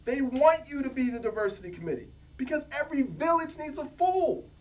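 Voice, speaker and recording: A man's voice: animated, fairly quick. A man voicing an anxious, indignant literature professor, accusing and insistent, in a reading from a novel. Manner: high-strung, insistent, emphatic. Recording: distant mic, faint room noise.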